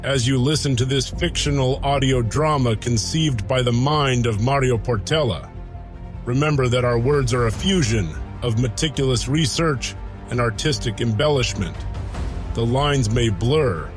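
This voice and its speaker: A man's voice: medium pitch, moderate pace, over music. A man narrating a scripted audio drama in the first person, with a grandiose, florid storytelling manner. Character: ancient soldier turned paladin, lovestruck, reminiscing.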